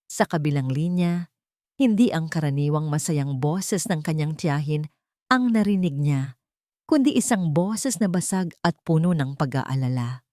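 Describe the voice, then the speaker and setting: Woman storyteller, lowish pitch, solemn, measured, scripted love-story narration, very dry recording. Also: expressive, warm tone, dry recording.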